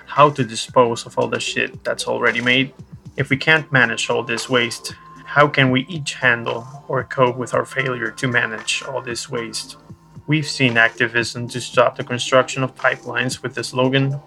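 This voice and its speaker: A man's voice: medium pitch, gravelly, pushed and bright, poor audio. A man reading a scripted essay aloud as a podcast narrator. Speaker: podcast reader.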